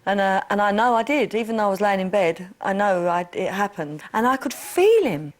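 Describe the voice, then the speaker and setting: A woman answers interview questions in an earnest, reflective way. Her voice sits at an ordinary middle pitch. She circles back and repeats herself as she thinks aloud. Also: animated, a little fast. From East London. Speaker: interview guest. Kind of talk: candid personal interview.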